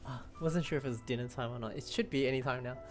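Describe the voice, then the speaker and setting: A streamer comments casually on a game in short, amused reactions. The voice sits at a middling pitch, with music clearly playing behind it. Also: fast talker.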